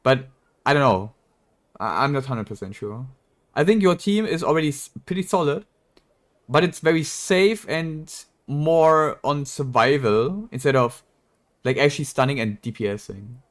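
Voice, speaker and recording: Casting: laid-back slangy streamer, medium pitch, blunt offhand gaming chat. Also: animated, normal speed. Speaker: game streamer. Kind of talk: casual live-stream chat. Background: video game dialogue.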